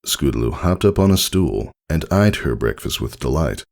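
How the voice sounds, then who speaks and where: Low voiced guy narrating a story, really animated reading, audiobook style. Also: moderate pace, vocal fry. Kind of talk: expressive scripted fiction narration.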